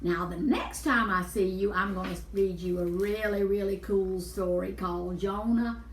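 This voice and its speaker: A woman's voice: mid-range voice, moderate pace, a little hiss or hum. Echoey woman reading a children's story. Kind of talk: children's Bible story read aloud. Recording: echoey room, distant mic.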